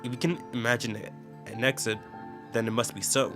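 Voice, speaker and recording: A man's voice: medium pitch, steady tone, a little fast, over music, close to an everyday voice. A man voicing a pompous, formal talking magic book in a game story. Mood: lofty.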